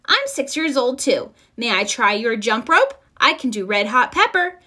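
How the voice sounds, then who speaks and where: A woman plays a six-year-old girl bear cub from a children's storybook. The little cub is boastful and commanding, with a high, lively, bright delivery that loves to give orders. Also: normal speed, heavily acted. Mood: eager. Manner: excitable, braggy, commanding. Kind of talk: children's storybook read-aloud.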